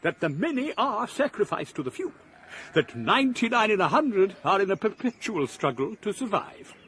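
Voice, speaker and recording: A fiery, scornful philosopher. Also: male voice, high voice, sing-song, normal speed, a bit bright, slight background noise. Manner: declamatory, scornful, oratorical. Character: impassioned gloomy male philosopher, fierce critic of progress. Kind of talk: 1980s radio drama acting.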